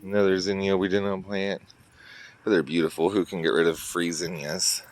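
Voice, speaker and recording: A man's voice: sits low, level tone, normal speed. A man giving a casual walkthrough of his garden to viewers, pointing out plants as he goes and sounding a little congested, recorded outdoors on a handheld phone. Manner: chatty, pointing things out to viewers. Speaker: garden vlogger. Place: outdoors in a garden near a road. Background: faint traffic and outdoor ambience.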